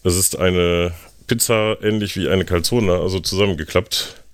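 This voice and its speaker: A young man hosting a casual podcast chat, explaining things in a halting, deliberate way with dry jokes. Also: deep voice, fairly even intonation, clear, resonant tone, no room sound. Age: young adult.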